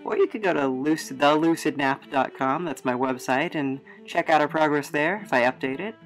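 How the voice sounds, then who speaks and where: A woman's voice: low voice, normal speed, soft background music. A woman narrating a casual, chatty travelogue as host, with playful, wry, rambling asides. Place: studio voice-over.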